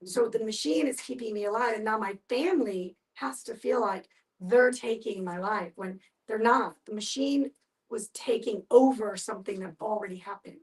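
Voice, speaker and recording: A woman's voice: a little high, moderate pace. A woman speaking emphatically and explaining things in a group discussion. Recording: voice picked up from a distance.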